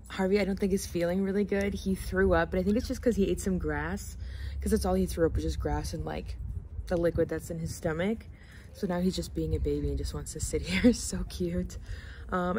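A young woman talks straight to a handheld camera in a loose, rambling way, full of "like" fillers. Her voice sits a little low and sounds slightly raspy and stuffy. A faint background hum stays under her talk. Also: dark tone. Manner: rambling, lots of "like" fillers, chatty. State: stuffy nose, a bit hoarse. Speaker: lifestyle vlogger. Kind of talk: casual rambling vlog chat. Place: home kitchen indoors, then back patio outdoors. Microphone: camera or phone at arm's length.

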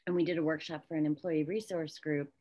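A woman's voice: low voice, steady tone, fast, warm tone, highs cut off. A woman in her thirties interviewing casually on a video call, with informal, loose phrasing. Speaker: interviewer, host. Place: indoor room at home, video call.

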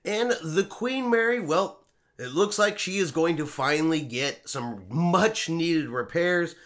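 A man's voice: a little high, fairly bright tone. Upbeat middle-aged man, cruise vlogger. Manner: upbeat, chatty, talks with his hands. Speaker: cruise vlog host. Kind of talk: casual news vlog to camera. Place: home room with shelves and a wall map, indoors.

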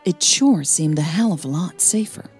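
A woman's voice: lowish voice, lively intonation, moderate pace, a little mellow, husky, soft background music. A woman hosting a podcast and narrating a romance story, sounding a little tired. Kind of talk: scripted romance narration and casual podcast outro.

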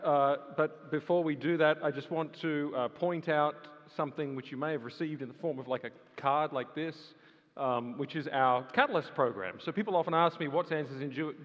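A man making a casual, chatty announcement to an audience in a large auditorium, with lots of fillers and quick asides. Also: a little high. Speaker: event presenter promoting a program. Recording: reverberant hall, dull sound.

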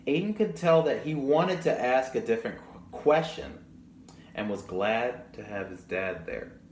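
A man's voice: medium pitch, lively intonation, measured pace, darkish tone. A young man reading a picture book to children, expressively, with chatty asides to his young listeners. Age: young adult. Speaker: children's storybook reader. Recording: roomy echo, audible background noise.

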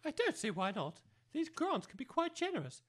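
A woman's voice: high-pitched, big pitch swings, moderate pace. A woman playing a pompous, snobbish new-age academy head in an amateur comedy audio drama, speaking precisely in lofty jargon. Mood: conciliatory.

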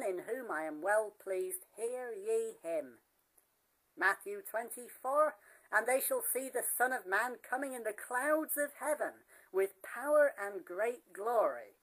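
Slow deadpan woman preaching. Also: medium pitch, rough recording. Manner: deadpan, mock-solemn pulpit delivery. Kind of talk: spoof sermon.

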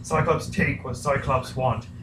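A man's voice: steady tone, fairly bright tone, close to an everyday voice. A man playing a hungry, menacing cyclops giant who speaks in broken sentences, in an amateur puppet story. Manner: slow broken speech, booming threats. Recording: noisy, echoey room, far from mic.

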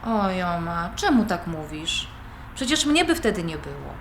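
A woman's voice: lowish voice, big pitch swings, measured pace. A young woman playing an independent-minded granddaughter, conversational and questioning, in audio drama dialogue. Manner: conversational, questioning, mildly protesting. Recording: roomy recording with background noise.

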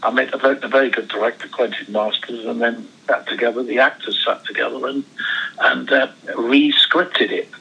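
A man's voice: mid-range voice, steady tone, normal speed, gravelly, sharp tone, slightly rough, muffled recording. A man in his seventies, born in Switzerland, telling his life story as an interview guest, rambling with many restarts. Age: 70s. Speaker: guest actor. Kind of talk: casual interview chat.

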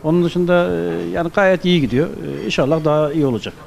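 A middle-aged man giving halting, unscripted answers as a restaurant manager being interviewed. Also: a little high, steady tone, a little mellow, highs cut off. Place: restaurant dining room. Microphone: handheld microphone. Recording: clear background noise, restaurant ambience.

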